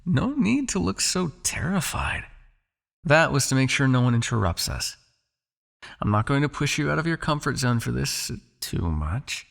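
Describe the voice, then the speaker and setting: A man playing a possessive, teasing vampire captor in an intimate ASMR roleplay, coaxing the listener with a creaky voice. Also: medium pitch, very animated, fairly bright tone, heavily acted. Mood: confident, challenging. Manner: coaxing, teasing, with pauses, sighs and murmured asides.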